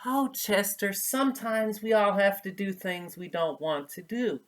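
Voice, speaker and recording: A man voicing a tender, reassuring mother raccoon in a storybook, soothing and deliberate. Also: high-pitched, fairly bright tone, voice pushed up. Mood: gentle reassurance. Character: gentle, reassuring mother raccoon comforting her child. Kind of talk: children's storybook read-aloud.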